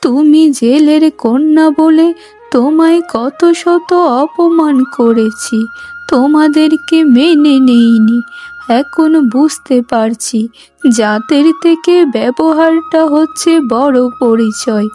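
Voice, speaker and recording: Woman as a high, slow, plaintive older mother. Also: warm tone, faint music behind. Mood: remorseful. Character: aggrieved, remorseful older village mother-in-law. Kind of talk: emotional cartoon story acting.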